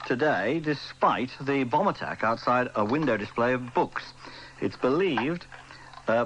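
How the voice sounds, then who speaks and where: A man's voice: mid-range voice, fairly bright tone. A man with a British accent reading the news formally on the radio. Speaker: radio newsreader. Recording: faintly noisy old radio broadcast.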